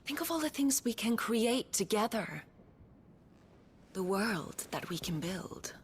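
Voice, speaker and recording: A woman's voice: medium pitch, sing-song, slow, slight background noise. An actress playing a proud, scheming noblewoman in a game cutscene, haughty and mocking. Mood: seductive.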